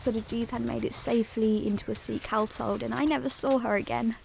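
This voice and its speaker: A woman narrator giving a scripted first-person dramatic account in a solemn, measured storytelling manner. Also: fairly high pitch, fairly even intonation, vocal fry, a little mellow, a little hiss or hum, rough recording.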